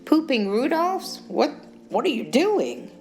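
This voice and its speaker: A woman voicing a friendly, slightly gruff travelling boyfriend with an edge of gravel, in an audiobook short story. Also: sits high, sing-song, slow, darkish tone, faint music behind, voice pushed up, full character voice. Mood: puzzled. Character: gruff but friendly Midwestern boyfriend, busy travelling man.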